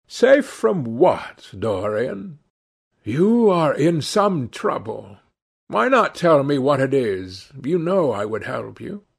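A man voicing a languid, witty, cynical aristocratic gentleman in an audiobook, with drawling, epigrammatic speech. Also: medium pitch, big pitch swings, takes it slowly, gravelly, breathy edge, exaggerated voice. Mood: concerned, dismissive.

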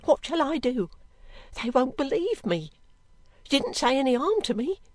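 A woman's voice: sits high, very animated, moderate pace, dry recording, pitched above the performer's own voice. A woman voicing a frightened, piteous young servant girl in an audiobook, pleading and repeating herself in a plaintive way. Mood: anxious, pleading. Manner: plaintive, pleading, breathlessly repetitive. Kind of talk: audiobook character acting.

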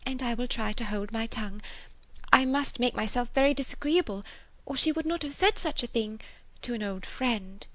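A woman's voice: fairly high pitch, normal speed, no room sound, pitched above the performer's own voice, close to an everyday voice. A woman voicing a good-humoured, garrulous spinster, eager and self-deprecating and easily hurt, in an audiobook novel. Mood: hurt, humble.

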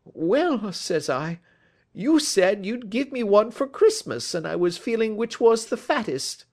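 Brief: male reader as a desperate young thief, pleading and agitated, lively pitch. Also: unhurried, exaggerated voice. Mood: anxious. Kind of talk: audiobook reading.

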